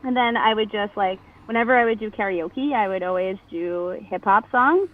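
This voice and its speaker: A woman's voice: medium pitch, normal speed. A woman in her thirties from New Jersey with a New Jersey accent, a nasal voice and a chatty manner full of fillers, talking as a guest in an interview. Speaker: podcast guest. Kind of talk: casual interview chat. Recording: phone-like narrow band.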